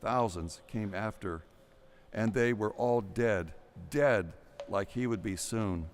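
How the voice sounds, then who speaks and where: A man's voice: on the low side, slow, a little hiss or hum. A man reading scripted narration for an audio drama in a dramatic storytelling style.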